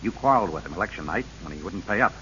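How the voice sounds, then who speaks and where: A dull, vintage radio recording with an earnest, resolute lawman. His voice is low and sharp, rising and falling dramatically in 1940s radio style, stern and emphatic. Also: lively intonation, very fast. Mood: accusing. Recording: hissy, dull old radio broadcast.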